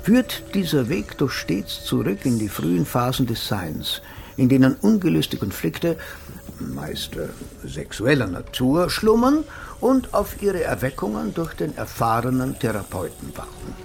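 An actor playing a pompous, smug older psychoanalyst who speaks formally and wordily in a radio drama. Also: male voice, medium pitch, wildly swooping pitch, a little slow, creaky, faint music behind, heavily acted. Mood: lecturing, self-assured. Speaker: narrator and psychotherapist. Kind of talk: German radio drama acting, literary narration.

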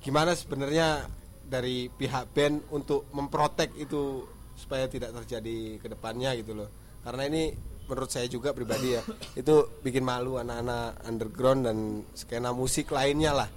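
A man's voice: medium pitch, fairly even intonation, normal speed. Earnest man at a mic, light background noise. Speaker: audience member asking a question. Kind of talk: spontaneous spoken question to interview guests. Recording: slight background noise and ambience.

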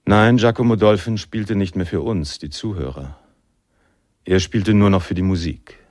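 A man's voice: low-pitched, moderate pace, darkish tone, no room sound, studio quality, natural voice. An actor playing a reflective, world-weary male narrator in a noir radio drama, telling the story in literary prose. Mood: reflective, melancholy.

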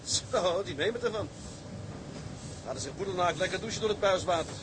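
Ship's mate, male actor: high pitch, contented, conversational, easygoing, noisy deck ambience. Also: fairly quick, close to an everyday voice. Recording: noisy ship ambience behind the voice.

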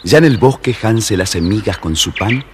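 A low-voiced man tells a scripted fairy tale with lively intonation. The old storybook recording carries clear background noise. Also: moderate pace. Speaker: storybook narrator.

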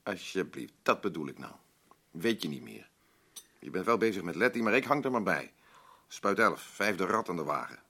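An actor playing a blunt, rambling working-class husband in a radio drama, boastful, self-pitying and defensive. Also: male voice, sits low, expressive, normal speed, pushed and bright, breathy edge, exaggerated voice. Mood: hurt, indignant. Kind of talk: naturalistic radio drama dialogue.

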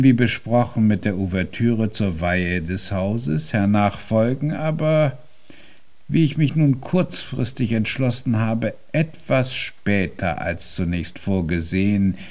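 An actor in a German radio drama playing a stubborn, imperious Viennese composer-pianist, with a formal, deliberate and pompous delivery. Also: male voice, fairly low pitch, sing-song, darkish tone, heavily acted. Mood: formal, decided.

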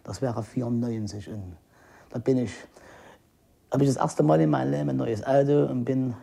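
A man's voice: mid-range voice, moderate pace, vocal fry, mellow. A man in his forties from East Germany, grumbling colloquially in a spontaneous personal account. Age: 40s.

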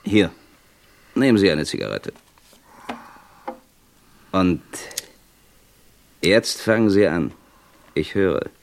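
An actor playing a persistent, stern British police inspector in a German radio crime drama, with formal, pointed questioning. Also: male voice, deep voice, very animated, takes it slowly, creaky, pauses often, slight background noise, full character voice. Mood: calm, in control.

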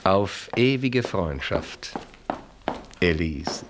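An actor in a German radio play as a suave, self-satisfied French marquis, courtly and flowery in his flattery. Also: low voice, very slow, noisy background, exaggerated voice. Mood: jovial, flattering. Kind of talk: German radio play acting, period drama.

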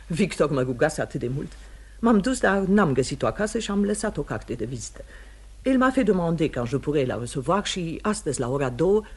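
A genteel, middle-aged aristocratic mother in a radio drama. Her husky voice swings widely in pitch as she moralizes, proud and anxious, with theatrical feeling. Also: a little mellow. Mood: agitated, confiding. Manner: genteel, emotional, slips into French.

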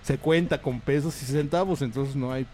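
A man's voice: mid-range voice, normal speed. A man speaking, hosting a casual community radio show with a rambling, chatty manner full of fillers and asides. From Mexico. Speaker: radio host. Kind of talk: casual on-air chat. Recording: radio studio with faint music behind.

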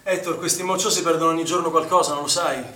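A man's voice: fairly high pitch, fairly even intonation, fast talker, bright, forceful tone, echoey room, distant mic, slight background noise. An actor playing an accused young male swimming instructor, defensive and indignant, in naturalistic stage drama. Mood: guarded, evasive.

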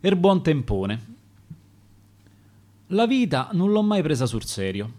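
A man's voice: a little high, very animated, slow pace, darkish tone. A man with a Roman accent reciting his own dialect sonnets for radio in a rhythmic, dramatic way. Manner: rhythmic, dramatic verse delivery.

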